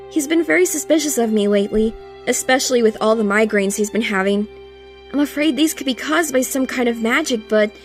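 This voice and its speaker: A woman acts out a royal cartoon pony, a worried wife with a high, earnest voice. She is gracious and pleading, with soft music behind her. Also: lightly acted. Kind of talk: fan audio drama acting.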